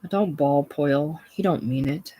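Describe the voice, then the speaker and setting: Soft, hurt young saloon girl, read by a narrator. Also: medium pitch, normal speed, breathy edge, close to an everyday voice.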